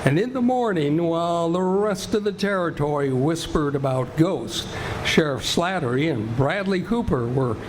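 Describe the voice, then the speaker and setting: A man's voice: fairly high pitch, expressive, normal speed, natural voice. A man acting as the storytelling narrator of a Western radio tale, with a scripted delivery. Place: live performance in a community center. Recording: noisy live stage recording with hum.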